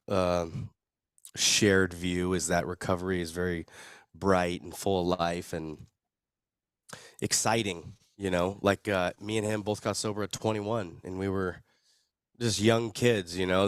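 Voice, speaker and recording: A young American man speaks in a very low voice while sick with a cold. He tells personal stories casually and with plenty of slang, as a podcast guest. The sound is dry, with little room. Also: clear, resonant tone, dry recording. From Arizona, United States. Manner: laid-back slangy, chuckles at own story. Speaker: podcast guest, recovery video producer.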